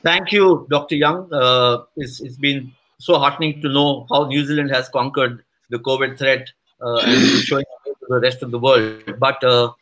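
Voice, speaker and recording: A man keeps the webinar moving, introducing each speaker with polite, brisk courtesy and the odd filler. His voice sits at an ordinary middle pitch. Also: steady tone, pushed and bright, low-quality recording. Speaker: moderator. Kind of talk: formal moderating of a webinar.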